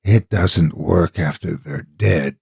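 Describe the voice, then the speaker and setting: A man's voice: sits low, takes it slowly, vocal fry, a little mellow, husky, no room sound, studio quality, pitched below the performer's own voice, full character voice. A man voicing a cold, greedy, bloodthirsty wife in a horror story. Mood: gleeful, cold.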